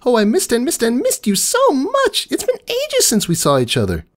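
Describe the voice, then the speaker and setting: A man's voice: extremely high pitch, wildly swooping pitch, pitched above the performer's own voice, full character voice. A man voicing an emotional, bubbly young female pony who gushes her words in a story reading. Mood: bubbly, excited. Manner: gushing, exclamatory, rapid wordy chatter.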